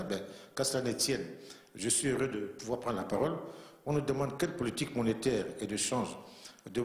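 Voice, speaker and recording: A man giving formal, earnest remarks as a panelist at a conference, from Central Africa. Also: mid-range voice. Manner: earnest, emphatic, repeats himself for weight. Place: conference room in a bank. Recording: echoey conference hall.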